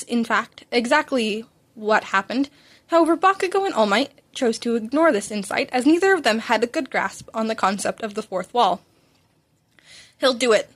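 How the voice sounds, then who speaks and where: A woman with a high voice reads fan fiction aloud. She narrates as an amateur audiobook reader, telling the story between lines of dialogue.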